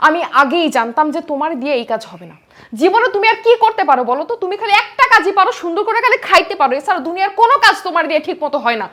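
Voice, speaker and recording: An actress playing a scheming, greedy daughter-in-law who scolds and nags in a melodramatic drama. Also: female voice, very high-pitched. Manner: scolding, nagging, sharp-tongued. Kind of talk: melodramatic short drama acting.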